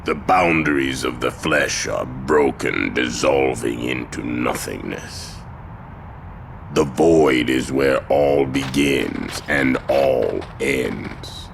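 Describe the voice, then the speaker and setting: Super deep game villain, slow and gravelly, pompous void worshipper, dramatic music behind. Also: male voice, noisy background, exaggerated voice. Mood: gloating, ominous. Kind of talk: theatrical video game voice acting.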